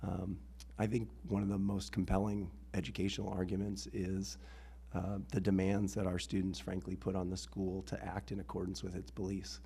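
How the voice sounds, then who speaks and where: A man with a rough, husky voice testifies at a public board hearing through a microphone. His tone is dark and low, with very little rise and fall. He explains steadily and earnestly, pausing on ums. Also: monotone. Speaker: assistant head of school speaking at a public hearing. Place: town board hearing room. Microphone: meeting microphone.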